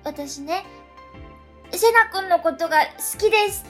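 A woman's voice: very high-pitched, takes it slowly, fairly bright tone, soft background music, heavily acted. A woman playing a quirky, earnest, lovestruck schoolgirl with a dramatic way of talking in a radio drama. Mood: earnest, infatuated. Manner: dramatic, drops musical terms into her talk. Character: quirky, earnest schoolgirl in love with transfer student.

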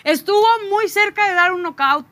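Una mujer con acento mexicano que comenta deportes en un programa de charla, de forma directa y con mucha jerga. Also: female voice, very high-pitched, moderate pace, sharp tone, dry recording. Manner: outspoken, blunt, slangy. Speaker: sports show panelist. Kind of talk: casual sports chat.

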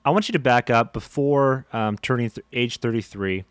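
A man's voice: mid-range voice, moderate pace, smooth, dry recording. A man hosting a podcast, introducing his guest with upbeat enthusiasm. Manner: enthusiastic, with fillers and restarts. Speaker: podcast host. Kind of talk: casual interview hosting.

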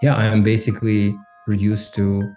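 A man from Kazakhstan, a podcast co-host, reflecting candidly in conversation. He talks haltingly, restarting often and adding "right?". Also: lowish voice, completely flat delivery, mellow, faint music behind.

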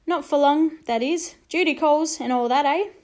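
A woman voicing a cheerful young male army orderly with brisk, chirpy soldier banter. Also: sits high, darkish tone, pitched above the performer's own voice, lightly acted.

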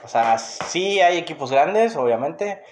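A man's voice: on the high side, lively intonation, a little slow. A man with a Mexican accent chatting casually about sports as a podcast co-host, emphatic and opinionated, often repeating himself. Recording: echoey room, noticeable background noise.

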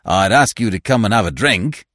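A man's voice: on the low side, animated, normal speed, fairly bright tone, no echo, studio quality. A man voicing a jovial, hearty sponger in a storybook, with effusive, exclamatory old-chap friendliness. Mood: chummy, wheedling.

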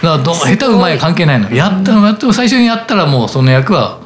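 A middle-aged man, a voice actor hosting, chatting about writing and acting in a relaxed storytelling way. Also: on the high side, faint music behind. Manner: relaxed storytelling, drawn-out reflective asides. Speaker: voice actor and podcast host. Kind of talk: casual chat.

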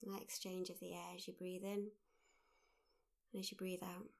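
A woman leading a guided mindfulness meditation, speaking gently in short, unhurried phrases with pauses. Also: on the low side, little pitch movement, creaky. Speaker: meditation guide, workshop leader.

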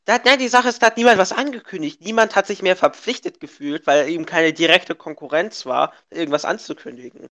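A man's voice: sits high, fairly quick, few pauses, sharp tone. A young man co-hosting a casual gaming podcast, a bit sick and congested, rambling with many restarts and fillers. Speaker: podcast co-host. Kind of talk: casual chat.

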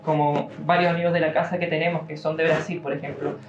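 A young man with a Chilean accent giving an informal devotional talk, musing aloud with pauses and fillers. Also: on the high side, level tone, noisy background. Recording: roomy, dull-sounding room recording.

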